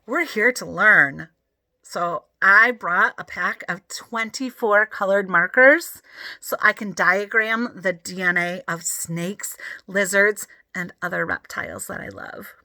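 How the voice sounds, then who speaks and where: Bright-voiced woman reading a story. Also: medium pitch, measured pace, no room sound. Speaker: audiobook reader. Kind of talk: expressive children's story read-aloud.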